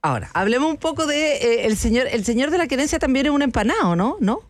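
A woman's voice: sits high, sing-song, fast talker, no echo. A woman who hosts the interview and asks probing, conversational questions. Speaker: host.

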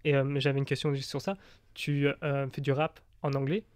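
A man's voice: medium pitch, little pitch movement, a little fast, clean tone, no echo. A man hosting a casual, conversational radio interview in a studio.

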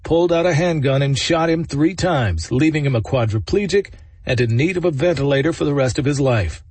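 A man's voice: fairly high pitch, a little fast, darkish tone, slightly rough, no room sound. A man narrating a news report in scripted style.

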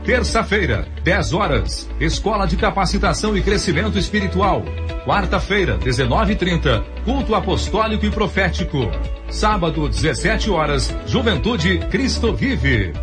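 A man's voice: high voice, normal speed. A man reading a church radio promo in an upbeat announcer style. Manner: upbeat, lively promo read. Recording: over music.

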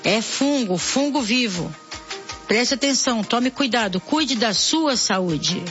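Hoarse woman hosting a call-in show. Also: fairly high pitch, lively intonation, takes it slowly, lo-fi sound, over loud music. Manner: warm, earnest, addresses listeners directly with blessings. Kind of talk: chatty host reading listener messages and giving health tips.

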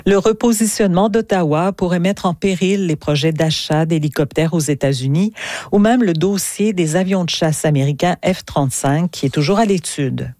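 A woman's voice: lowish voice, moderate pace, dark tone, no echo, clean studio sound. A woman reading scripted news as a radio anchor.

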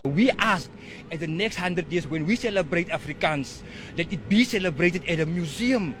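A man making earnest, halting public remarks. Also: expressive, a bit bright. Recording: distant with rumbling noise.

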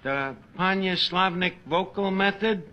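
A man's voice: sits high, measured pace, muffled recording. An actor playing a brash, wisecracking tavern manager in a 1950s radio comedy, fumbling his big words. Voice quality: brash, malaprop-prone. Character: wisecracking male tavern manager, fast-talking, bossy. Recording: hissy old radio recording.

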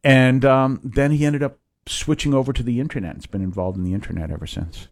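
A man in his fifties from Massachusetts, a priest, giving a rambling conversational interview with many pauses and restarts. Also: mid-range voice, steady tone, dry recording.

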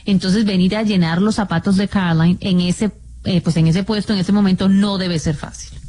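A woman's voice: mid-range voice, fairly even intonation, moderate pace, mellow. A woman co-hosting a radio show, chatting playfully and slipping in English phrases. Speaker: radio co-host. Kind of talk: casual radio chat.

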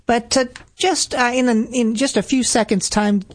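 A woman's voice: a little high, steady tone, normal speed, no room sound, clean studio sound. A woman hosting a conversational radio interview. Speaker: interview host.

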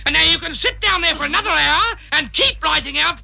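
An actor playing a flustered, stuttering schoolmaster in a vintage radio comedy. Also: male voice, extremely high pitch, expressive, a little fast, gravelly, bright, forceful tone, exaggerated voice, low-quality recording. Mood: blustering. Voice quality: stammering, exasperated.

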